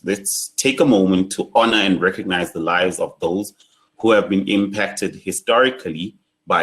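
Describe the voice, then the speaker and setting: A young South African man with a South African accent, leading an online workshop in a measured, solemn way. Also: sits low. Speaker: workshop facilitator.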